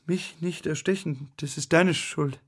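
A man playing a desperate, obsessive young rejected lover in a radio drama, speaking in halting, repeated phrases. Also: fairly high pitch, husky, no room sound, studio quality. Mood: desperate, accusing. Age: young adult. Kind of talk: intimate radio drama acting.

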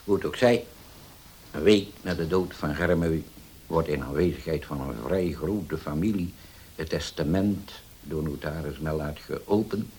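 An actor in a radio play as a grave, troubled widowed father, speaking haltingly and solemnly with long pauses. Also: very deep voice, darkish tone. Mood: grave, recounting. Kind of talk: Dutch radio play acting. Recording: hissy old radio play recording.

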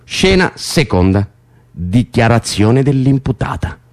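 Insistent, excitable prisoner with swooping pitch. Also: male voice, slow pace. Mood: bossy, insistent. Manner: insistent, teasing, foul-mouthed, repeats himself. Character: bossy, coaxing, excitable male prisoner rehearsing a play. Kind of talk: naturalistic Italian radio drama acting.

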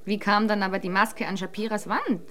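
An actress playing a curious, quick-witted woman in a German radio detective drama, questioning with playful skepticism. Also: medium pitch, steady tone, a bit bright, natural voice. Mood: curious, skeptical.

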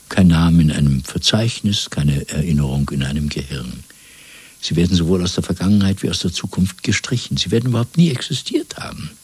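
Husky, deep, fanatical Party interrogator. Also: male voice, no room sound, full character voice. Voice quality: husky, gravelly, often dropping to a whisper. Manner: deliberate, patronising, swinging between soft and commanding. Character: cold, fanatical male Party interrogator and torturer. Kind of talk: 1970s German radio play acting.

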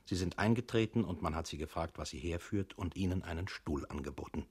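Procedural narrator, very low voice, slightly rough, matter-of-fact, radio drama. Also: male voice, fairly quick, darkish tone. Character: impersonal narrator dictating the listener's steps.

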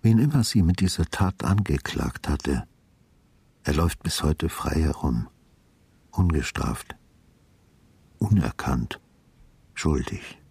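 Retired detective, very husky, low-pitched, brooding, sardonic, deliberate radio drama narration. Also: male voice, animated, darkish tone, no room sound, heavily acted. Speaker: narrator and lead actor. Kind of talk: literary radio drama narration with inner monologue.

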